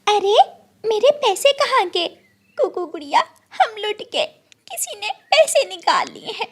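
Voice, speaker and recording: A woman voicing a gentle, anxious mother bird in a children's cartoon, motherly and plaintive. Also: very high-pitched, very animated, a little slow, voice pushed up, heavily acted. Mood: distressed.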